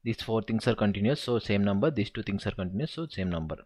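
A man with a lowish voice talks through a coding problem while he types. He thinks aloud and counts each step out slowly. It sounds like a desktop mic picking up a screen recording. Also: expressive, darkish tone. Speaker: SQL tutor.

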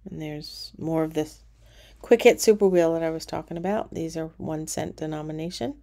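A middle-aged woman casually narrating a walking tour of a cruise ship casino, relaxed and pointing things out as she goes. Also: low-pitched, normal speed, creaky.

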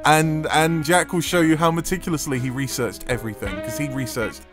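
A man, a podcast writer and producer, giving an informal promotional pitch in a playful, irreverent manner. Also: steady tone, brisk, over music.

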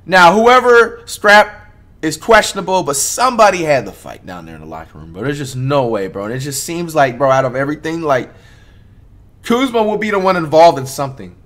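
Young guy streaming, lively talk, bro every other word, kinda high voice. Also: brisk. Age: young adult. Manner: rambling, says "bro" constantly. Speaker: streamer reacting to videos. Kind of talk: casual live-stream reaction. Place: bedroom streaming setup.

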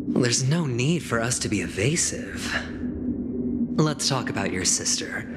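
An actor playing a suave, confident young gambler and schemer in a video game, smooth-talking, teasing and insinuating. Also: male voice, medium pitch. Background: game soundtrack music.

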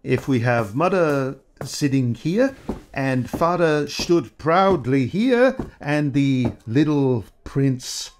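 An actor playing a polite, hesitant old-fashioned photographer in an audio drama. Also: male voice, mid-range voice, expressive, takes it slowly.

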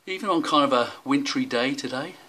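A man talks through a handheld tour of a seaside hotel, pausing often on fillers and restarting his sentences. His voice sits in the middle of the male range. Faint background ambience runs under the camera audio. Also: medium pitch, bright, forceful tone, slight background noise. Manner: rambling, many fillers and restarts. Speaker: travel vlogger. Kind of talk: handheld walking tour narration. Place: hotel rooms and seaside street.

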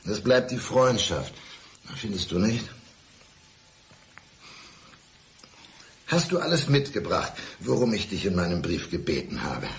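An actor in a radio drama playing a lonely, blind middle-aged man, deliberate and polite yet needling. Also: low-pitched, sing-song, gravelly, slight background noise, exaggerated voice. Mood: apologetic, wistful. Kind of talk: 1990s German radio drama acting.

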